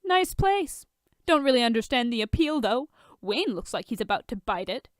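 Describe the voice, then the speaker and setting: A woman's voice: on the high side, very animated, moderate pace, dry recording, studio quality. A woman voicing a smug, taunting male billionaire villain in a fan fiction reading. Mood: disdainful.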